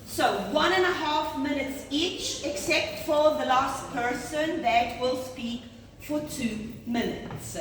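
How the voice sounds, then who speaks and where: A woman moderating a school debate, apologetic and correcting herself, recorded from a distance in a large school hall. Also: fairly high pitch. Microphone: far room microphone. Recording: roomy hall audio from a distance with background noise.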